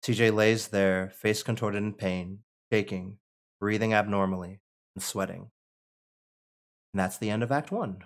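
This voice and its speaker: A man's voice: lowish voice, fairly even intonation, a little slow. A man narrating stage directions at a table read in an informal, conversational way. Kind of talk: reading screenplay stage directions aloud, with casual asides.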